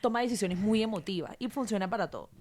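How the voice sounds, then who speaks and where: A woman's voice: mid-range voice, quick pace, darkish tone. A woman, earnestly giving practical money tips in a casual conversation and often correcting herself mid-sentence. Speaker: guest.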